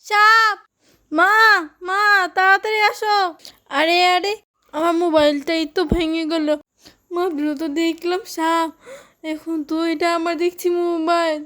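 A woman's voice: very high-pitched, steady tone, a little slow, bright, forceful tone, heavily acted. A woman voicing a headstrong, dramatic teenage daughter in a cartoon, with a whiny, theatrical delivery. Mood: panicked.

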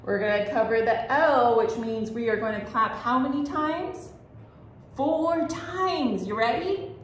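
A woman's voice: on the high side, unhurried. A woman hosting a children's storytime as a librarian, reading aloud expressively and exclaiming as she addresses young listeners directly. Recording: echoey room with faint noise.

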